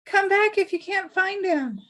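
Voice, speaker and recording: A woman's voice: very high-pitched, animated, a little slow, fairly bright tone, heavily acted. A woman playing a worried, affectionate mother in a screenplay table read. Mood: worried, relieved.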